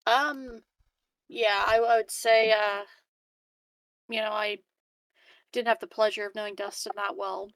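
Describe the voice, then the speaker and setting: A woman playing a tabletop roleplaying game, speaking softly and hesitantly with little giggles. Her voice has a gravelly, fry-heavy edge. It sounds dry, with no room around it. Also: medium pitch, little pitch movement, unhurried, pauses often, no echo. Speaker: player. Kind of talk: casual in-character chat in a tabletop game.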